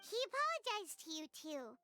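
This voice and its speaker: A tiny fairy sidekick with an extremely high, squeaky, childlike voice. She is chatty and earnest, and her pitch bounces around a lot, as game dialogue over clear background music. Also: big pitch swings, moderate pace. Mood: earnest, inquisitive. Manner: speaks of self in third person. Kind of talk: video game character dialogue.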